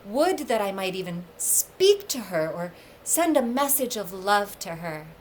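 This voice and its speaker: A woman's voice: medium pitch, very animated, a little slow, a little hiss or hum. A woman reading the part of a lovesick, stubborn young male god in earnest, declamatory storybook speech. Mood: longing.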